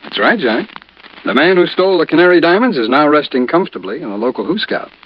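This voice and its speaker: An actor playing a genial doctor turned amateur detective in a 1950s radio drama, chatty and a little hesitant, with an American accent. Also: male voice, fairly high pitch, expressive, fast. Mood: proud, explaining. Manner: chummy, hesitant, conversational. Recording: muffled, noisy vintage radio recording.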